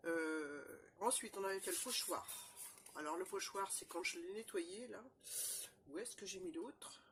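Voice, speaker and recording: A woman with a cold, chatting casually through a crafting demonstration, rambling and filling pauses often. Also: fairly low pitch, noisy background. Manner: chatty, rambling, constant fillers and "voilà". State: has a cold, stuffy. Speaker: crafting channel host. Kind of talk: casual show-and-tell crafting demo.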